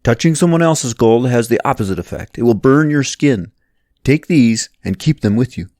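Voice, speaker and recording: Man acting a calm, generous mentor. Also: mid-range voice, normal speed, no echo, clean studio sound, pitched above the performer's own voice, lightly acted. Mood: instructive. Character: George, calm, generous older mentor and friend.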